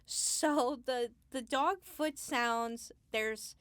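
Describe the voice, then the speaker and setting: A woman speaking casually as an interview guest, explaining her craft in a halting, thinking-aloud way. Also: on the high side, a bit bright, no echo. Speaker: Foley artist, interview guest.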